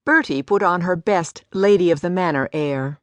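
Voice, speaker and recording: A woman's voice: fairly low pitch, normal speed, no echo. A woman giving a scripted story narration as an audiobook narrator. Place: dry studio.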